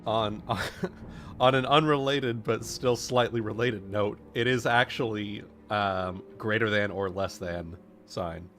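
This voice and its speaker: Guy w a slightly low voice just chatting during a tabletop game, soft music behind. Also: takes it slowly. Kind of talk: casual group chat.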